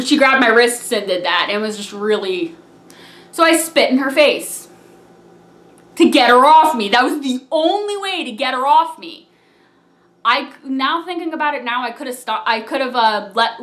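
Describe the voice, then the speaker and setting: Chatty young vlogger, high voice. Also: female voice. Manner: animated, chatty, laughs often and digresses. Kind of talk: casual storytime chat. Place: small room, seated on a couch indoors.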